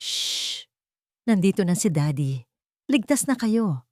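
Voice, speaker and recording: A woman's voice: mid-range voice, very animated, slow, a little mellow, no room sound, clean studio sound, pitched above the performer's own voice. A woman voicing a cold, protective, suspicious father in an audio story, with a curt manner. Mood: soothing. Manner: curt, accusing.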